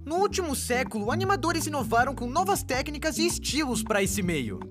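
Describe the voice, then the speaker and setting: A woman voicing an excitable, cheeky young cartoon YouTuber, narrating an explainer in a chatty, jokey way that speaks straight to the viewer. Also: high voice, brisk, over music. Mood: playful, explaining. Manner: chatty, exclamatory, addresses the viewer directly.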